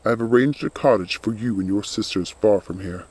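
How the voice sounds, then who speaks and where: A man playing a loyal undead skeletal servant in a storybook, speaking in a grave, earnest, measured and protective way. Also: fairly low pitch, darkish tone, husky. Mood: grave, urgent.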